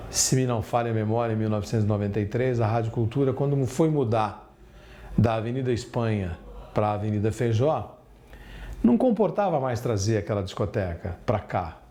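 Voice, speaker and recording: A man's voice: lowish voice, normal speed, warm tone. A man with a São Paulo state Brazilian accent, recalling things unscripted as an interviewee. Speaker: interviewee from the radio station. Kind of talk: unscripted recollection.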